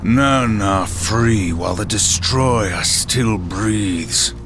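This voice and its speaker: A man's voice: on the low side, drawn-out words, over music. An actor playing a grim, terse horseman of the apocalypse in a video game cutscene, stern in delivery. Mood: grim, resolute.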